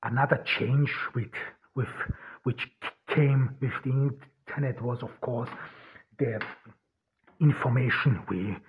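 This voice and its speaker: A man in his thirties from Austria, with an Austrian German accent, hosting a solo podcast and speaking haltingly with many restarts and fillers. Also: mid-range voice. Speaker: podcast host. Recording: husky voice, phone-like lo-fi audio.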